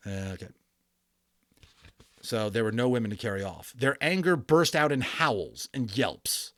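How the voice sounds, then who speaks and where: A man with a mid-pitched voice gives a dramatic storybook narration. He leans on key words theatrically, like a keen amateur storyteller. The sound is dry, with little room in it. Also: animated, measured pace, fairly bright tone, dry recording. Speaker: audiobook narrator.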